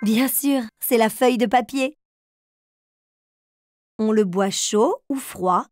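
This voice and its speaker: Cartoon mother figure: fairly high voice, patient and encouraging, leading riddles for small children over music. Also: slow pace, a little mellow, dry recording. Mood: playful, teaching. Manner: encouraging, clearly addressed to young children.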